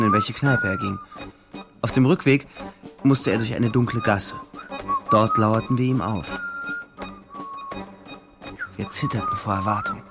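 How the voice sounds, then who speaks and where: An actor playing a hardened young soldier who narrates his war in the first person in a German radio drama. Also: mid-range voice. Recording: loud music behind the voice.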